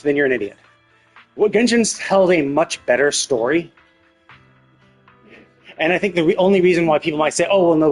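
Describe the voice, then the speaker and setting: A man streams games with a fairly high, husky voice. He talks casually but emphatically, opinionated and quick to swear. Faint music plays behind him. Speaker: game streamer. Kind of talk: casual gaming commentary rant.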